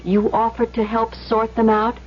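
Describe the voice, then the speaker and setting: A woman playing a skeptical young woman in an old-time radio suspense play, expressive and coaxing. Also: fairly high pitch, normal speed, natural voice. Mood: reasoning, soothing. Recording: dull old radio recording with hiss.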